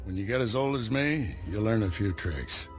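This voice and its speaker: An actor playing an older male Jedi Master in a video game, with a calm, reassuring manner. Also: on the low side, normal speed, lightly acted. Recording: game cutscene with music behind.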